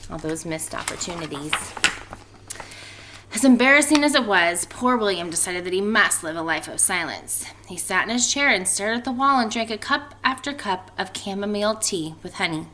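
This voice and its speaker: A woman speaking as a teacher doing a storybook read-aloud for children, expressive and emphatic in her delivery. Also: mid-range voice, moderate pace, slight background noise, low-quality recording. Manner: animated, expressive delivery with emphatic stretched words.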